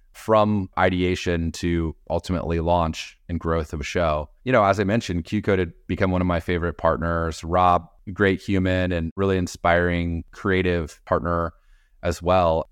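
A man's voice: deep voice, clean tone, no room sound, studio quality. A middle-aged man talking casually and reflectively as an interview guest, rambling a little with many hedges and "you know"s. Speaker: interview guest, podcast industry executive.

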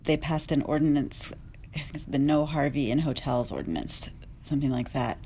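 A woman journalist speaking earnestly on a discussion panel, measured and with small restarts. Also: a bass voice, mellow, a little hiss or hum.

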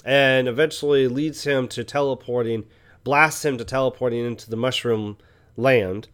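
A man's voice: mid-range voice, fairly even intonation, moderate pace, a bit bright, clean tone. A man co-hosting a podcast, explaining his points about movies in a casual, conversational way. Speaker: podcast co-host. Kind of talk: casual movie discussion chat.

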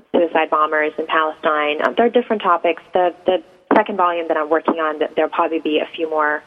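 A woman in her thirties from Iran, a native Persian speaker, answering radio interview questions hesitantly and reflectively, with many ums. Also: sits low. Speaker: guest poet. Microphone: phone. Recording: tinny phone line.

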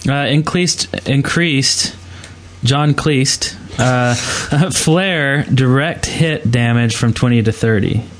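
Mid-pitched man in casual podcast chat. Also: takes it slowly. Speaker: podcast co-host.

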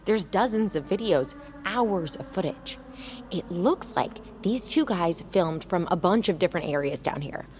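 A woman's voice: on the low side, lively intonation, measured pace, darkish tone. A woman playing a chatty, determined young woman in an audio drama, casual and insistent as she talks. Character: chatty, determined young woman looking after her friend.

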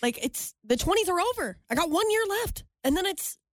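A woman in her late twenties bantering casually as a show co-host, with an animated, self-dramatizing manner. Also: very high-pitched, brisk, no echo, clean studio sound. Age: 28, turning 29.

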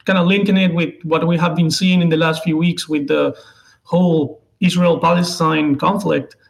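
A man in his twenties with a Venezuelan accent, a native Spanish speaker, answering interview questions haltingly as he searches for words. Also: a little high, flat delivery, creaky. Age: 20s. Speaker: interview guest, political contributor.

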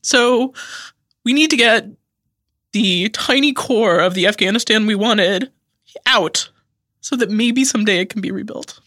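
A woman's voice: mid-range voice, measured pace, long pauses, a bit bright, dry recording, studio quality. A woman from Idaho, a podcast guest, speaking in an impassioned, emphatic way and sounding tired and on edge. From Idaho, USA. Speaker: guest commentator. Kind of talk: podcast interview.

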